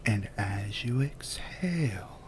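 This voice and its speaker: Soft whispery guy doing asmr guided relaxation, super slow, lowish voice, some background noise. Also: animated. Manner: soothing, unhurried, with long pauses.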